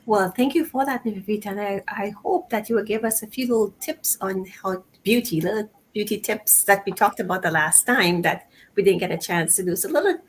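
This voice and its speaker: A bubbly woman hosts an online talk show, laughing often as she welcomes everyone. Her voice sits at an ordinary middle pitch, with a faint hiss of room noise behind it. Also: a little fast, few pauses. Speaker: show host.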